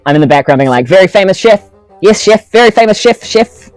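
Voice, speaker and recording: A woman's voice: medium pitch, lively intonation, a little fast, a little mellow, no room sound, faint music behind. A woman taking part in a tabletop role-playing game with casual, in-character chat. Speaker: podcast player in tabletop game.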